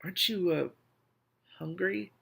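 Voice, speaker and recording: A man's voice: high voice, unhurried, vocal fry, pushed and bright, slightly rough, voice pushed up, heavily acted. A man voicing a blunt, easily flustered young heroine in a novel reading, informal and hesitant. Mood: baffled.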